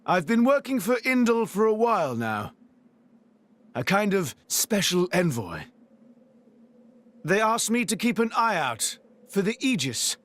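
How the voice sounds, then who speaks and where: Cocky, high-pitched swashbuckling prince. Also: male voice, very animated, a little slow, pauses often, exaggerated voice. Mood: breezy. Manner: boastful, teasing, theatrical delivery. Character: cocky flamboyant crown prince swordsman, theatrical show-off. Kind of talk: video game voice acting. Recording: loud music under dialogue.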